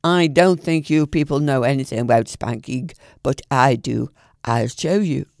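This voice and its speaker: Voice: mid-range voice, a little slow, vocal fry, darkish tone, dry recording, studio quality, voice pushed down. A storyteller voicing a curious, cheeky young elephant calf in a storybook reading, polite on the surface and boastful underneath.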